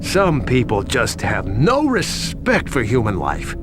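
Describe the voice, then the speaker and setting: Wisecracking cop, game cutscene; animated, mid-pitched, casual sarcasm over clearly noisy ambience. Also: male voice, slow pace. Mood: sardonic. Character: sardonic male police detective interrogating a suspect. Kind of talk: video game cutscene acting.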